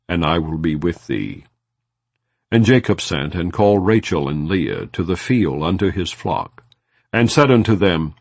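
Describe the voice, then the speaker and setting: A man reading scripture aloud in a measured, solemn way. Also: low-pitched, dry recording. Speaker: Bible reader.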